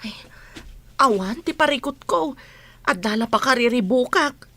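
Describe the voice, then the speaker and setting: A woman's voice: a little high, expressive, measured pace, pushed and bright, dry recording, heavily acted. A woman acting a troubled mother figure who is keeping a secret in a Filipino radio drama. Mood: anguished.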